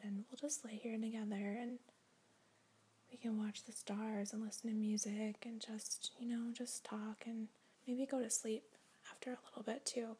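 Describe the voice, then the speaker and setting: A woman doing a caring-friend ASMR role-play, speaking gently and soothingly in soft, breathy whispers. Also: on the high side, a little hiss or hum.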